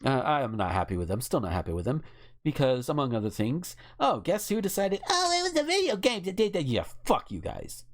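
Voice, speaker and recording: Casting: American talk host, very lively pitch movement, blunt and emphatic, swears freely. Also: a little fast. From United States. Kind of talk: casual opinionated discussion.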